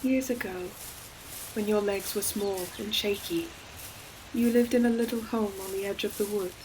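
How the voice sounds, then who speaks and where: A woman voicing an ancient, gentle forest demon in a scripted audio roleplay, speaking tenderly in archaic storybook phrasing. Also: mid-range voice, fairly even intonation, normal speed, natural voice, low-quality recording. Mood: nostalgic, tender. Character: ancient gentle forest demon, hulking moss-covered creature. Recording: clear forest ambience noise behind voice. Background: forest nature sound effects with animals.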